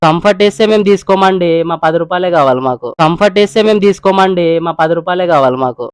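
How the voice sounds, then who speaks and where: Young male prank-call host. Quick, high-voiced, casual, code-mixes English, dry close sound. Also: animated, smooth, no echo. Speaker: prank caller and video host. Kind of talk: casual prank phone chat.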